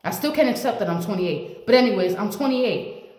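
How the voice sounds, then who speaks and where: A woman's voice: medium pitch, animated, a little fast, a little mellow. A woman in her late twenties giving blunt, repetitive advice to the camera as a vlogger. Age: 20s. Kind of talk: motivational talk to camera. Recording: echoey room with long reverb.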